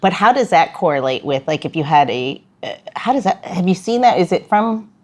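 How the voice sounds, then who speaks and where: A woman co-hosting a casual podcast with a notably low voice. She talks in a chatty, rambling way, restarting her sentences and slipping in jokes. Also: very animated, fairly quick, darkish tone.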